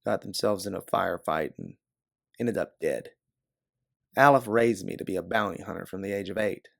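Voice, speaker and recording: A man's voice: deep voice, animated, moderate pace, gravelly, dry recording, clean studio sound, exaggerated voice. A man voicing a guarded, hard-bitten female bounty hunter in a science fiction audiobook. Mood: bitter, matter-of-fact. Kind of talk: audiobook character dialogue.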